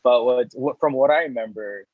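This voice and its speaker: A man's voice: mid-range voice, normal speed, no echo, narrow, tinny audio. A man in his thirties, a guest in a casual interview, rambling through his story with restarts and small laughs. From United States. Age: 30s. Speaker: guest, coffee roaster and business owner.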